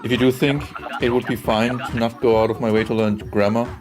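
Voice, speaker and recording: A man's voice: medium pitch, flat delivery, a little fast. A young man whose first language is German, chatting casually with viewers while playing a game on a live stream. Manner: chatty, reads chat aloud, shrieks when hit in game. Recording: loud game music behind.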